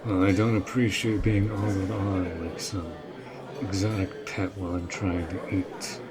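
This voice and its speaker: A protective orc general , performed by a man, speaks in a lowish male voice at a very slow, measured pace. He is courteous and earnest, with some dry teasing, in a fantasy audio roleplay. A crowd murmurs clearly behind him. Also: level tone. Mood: wry, teasing.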